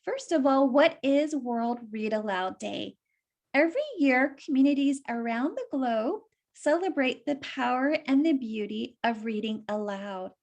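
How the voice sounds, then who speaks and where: A woman's voice: sits high, lively intonation, unhurried, darkish tone, muffled recording. A woman reading a children's story aloud and explaining it simply, as if to young listeners.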